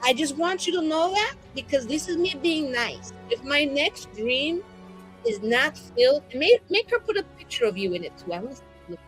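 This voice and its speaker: Bossy, sarcastic younger-sounding sister in a tabletop game, acted by a woman, high-pitched, very animated, music behind. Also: normal speed, poor audio. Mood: demanding. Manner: sarcastic, blunt, slangy scolding. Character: exasperated, bossy, loving sister berating her brother. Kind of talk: improvised in-character tabletop roleplay.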